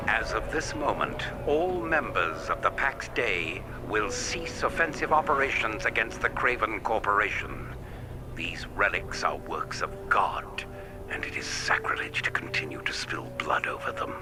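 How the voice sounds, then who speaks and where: An actor playing a pompous cardinal of a sun-worshipping space cult, preaching grandly in a video game. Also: male voice, on the low side, animated, unhurried, over music. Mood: commanding, pious. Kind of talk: theatrical game cutscene speech.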